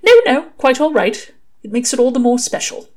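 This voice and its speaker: Woman voicing a prim, tender angel gentleman, lifted pitch. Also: expressive, moderate pace. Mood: fussy, curious. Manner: formal, fussy phrasing, hesitant. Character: prim, earnest, tender, hesitant male angel. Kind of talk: audiobook character dialogue.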